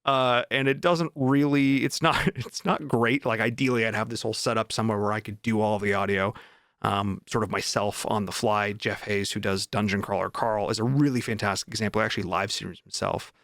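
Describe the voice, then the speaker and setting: A man's voice: on the low side, fairly even intonation, brisk, a bit bright, no room sound, studio quality. A man chatting casually as a vlog host, informal and enthusiastic about his writing. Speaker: vlog host and indie author.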